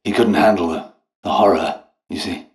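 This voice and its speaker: An actor playing a polite, eccentric British doctor and scientist in a video game, with refined, hesitant, apologetic and understated speech. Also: male voice, sits low, moderate pace. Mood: regretful. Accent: British English. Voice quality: refined, well-spoken. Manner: courteous, hesitant, understated, chatty.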